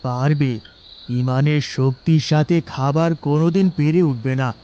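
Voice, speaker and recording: A slightly high-voiced, humble father, acted by a man, speaks slowly and consolingly. The recording is dull, with some noise behind this devout cartoon figure. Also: steady tone, mellow, close to an everyday voice. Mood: reassuring. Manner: slow, consoling. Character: humble, devout, gently sorrowful poor father. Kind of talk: moral cartoon voice acting.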